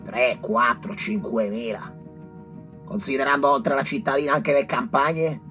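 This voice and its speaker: An actor playing a self-important, agitated doctor who runs a public health office, haranguing and cursing in theatrical radio drama style. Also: male voice, mid-range voice, steady tone, a little slow, pushed and bright. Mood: alarmed. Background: music.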